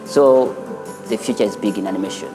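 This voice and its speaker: Low-voiced man over music. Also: low-quality recording. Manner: earnest, unhurried, with small restarts. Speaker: animation studio founder and teacher. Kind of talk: documentary interview. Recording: interview over loud background music.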